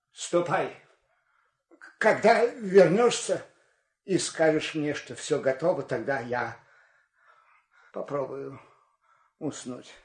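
A man's voice: a little high, darkish tone, reverberant. An older man acting a proud, weary aging king in a theatrical, declamatory, imperious manner in an audio fairy tale. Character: proud aging king, commanding and weary, resigned to die fighting.

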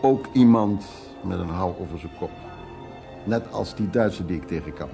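A man's voice: deep voice, lively intonation, moderate pace, vocal fry, warm tone, soft background music. An actor playing an evasive, hard-drinking man in a radio drama, telling his stories in a rambling, teasing way. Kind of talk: Dutch radio drama acting.

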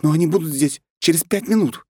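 A man voicing an excitable, nervous young woman in an audiobook, pitched high and excited. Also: brisk, breathy edge, no echo, clean studio sound. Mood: agitated. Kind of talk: audiobook character voice.

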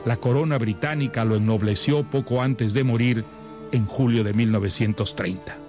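A man reading a scripted introduction to a literary radio series in a measured, expository manner. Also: mid-range voice, music in the background. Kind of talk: scripted narration.

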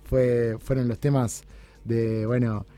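Hombre con acento uruguayo, charla entrecortada llena de muletillas, voz grave oscura en una radio. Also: male voice, medium pitch, little pitch movement, warm tone, soft background music. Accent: Uruguayan Spanish. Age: 30s. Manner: halting, many restarts and fillers. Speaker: guest. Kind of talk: casual interview chat. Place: radio studio.

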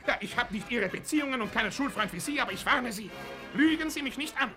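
An actor playing a zealous Nazi air-raid warden, tense and officious, cowardly underneath, in a radio play. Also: male voice, extremely high pitch, animated, quick pace, over music. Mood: threatening, officious. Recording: noisy old radio play recording.

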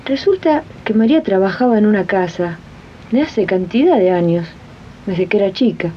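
A woman plays an Argentine housemaid, assertive and friendly, explaining things earnestly in radio drama style. The sound is a muffled archive tape with a faint rumble under it. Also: lively intonation, normal speed, natural voice. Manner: earnest, explanatory, addresses listeners as comrades. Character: assertive young housemaid and union-minded worker, friendly. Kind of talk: 1980s amateur radio drama acting.

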